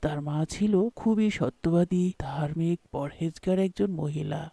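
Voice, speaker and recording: A woman voicing a kindly, mysterious old man who tells stories in a gentle, measured way in a cartoon. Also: low-pitched, vocal fry, no room sound, full character voice. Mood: storytelling. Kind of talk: cartoon storytelling.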